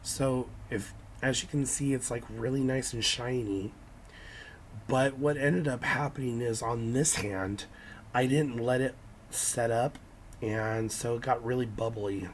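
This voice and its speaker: A hoarse young man with a raspy, scratchy voice, talking through a casual nail-art how-to with lots of ums and restarts. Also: mid-range voice, steady tone, measured pace. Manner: hesitant, many ums and restarts. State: hoarse from illness.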